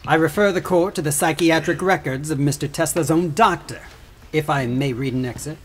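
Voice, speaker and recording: An actor playing a sarcastic, pompous prosecuting attorney in a courtroom audio drama, with oratorical, scornful delivery. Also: male voice, fairly high pitch, fairly quick, lightly acted. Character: sneering male prosecuting attorney, theatrical and scornful. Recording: clearly noisy track with clicks.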